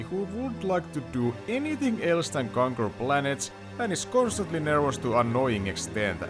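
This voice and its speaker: Man, scripted reviewer narration, lively swooping intonation, wry opinionated delivery, plucked guitar music behind. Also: mid-range voice.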